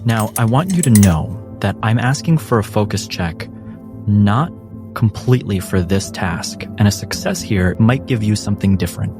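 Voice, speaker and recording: A man narrating a tabletop game as game master in a measured storytelling way. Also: on the low side, lively intonation. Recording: music bed under narration.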